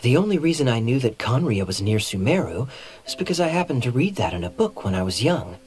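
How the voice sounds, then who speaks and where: A man playing a suave, sly young knight captain in a video game, teasing and evasive, with smooth theatrical irony. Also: mid-range voice, big pitch swings, fast talker, a little hiss or hum, close to an everyday voice. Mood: wry, reminiscing. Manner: smooth, theatrical, playfully ironic. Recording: soft music behind the voice.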